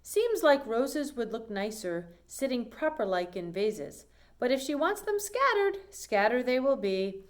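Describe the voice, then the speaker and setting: A woman's voice: on the high side, sing-song, a little slow. Storybook housemaid, played by a woman reader: cheerful, literal-minded, folksy, plain-spoken, sure of herself. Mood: matter-of-fact. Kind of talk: children's storybook character reading.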